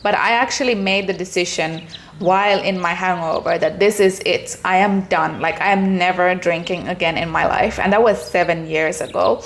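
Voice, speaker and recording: A woman's voice: lowish voice, normal speed. A young adult woman from Finland, telling a personal story in a candid, earnest vlog. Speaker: vlogger.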